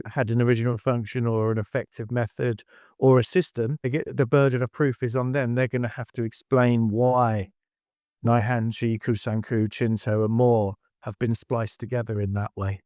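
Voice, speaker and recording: A man's voice: mid-range voice, darkish tone, no room sound, clean studio sound. A man who speaks firmly and argumentatively in a discussion. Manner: firm and argumentative.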